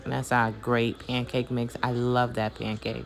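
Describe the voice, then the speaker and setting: A woman casually narrating her own shopping video in a relaxed, chatty way, with slang and fillers. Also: extremely low pitch, unhurried. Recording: voice-over under loud music.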